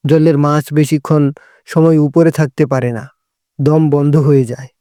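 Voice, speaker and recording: A man tells an animated storybook fable as narrator. His voice is fairly high for a man yet soft and mellow, in a very dry recording. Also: unhurried, no echo. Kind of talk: scripted storybook narration for a cartoon.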